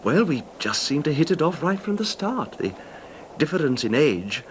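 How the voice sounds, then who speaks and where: A posh-mannered lonely rich husband, middle-aged, halting and wistful, very expressive pitch, old 70s radio drama with faint hiss. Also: male voice. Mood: nostalgic. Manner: hesitant, courtly, halting with reflective pauses. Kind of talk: 1970s radio drama acting.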